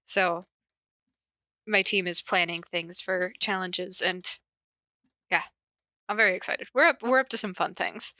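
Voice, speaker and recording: A woman's voice: on the low side, unhurried, pauses often, pushed and bright, dry recording. A woman podcast host casually reading fan fiction aloud and chatting, with a giggly, informal manner and frequent asides. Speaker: podcast host and podfic reader. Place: corner of a basement at home.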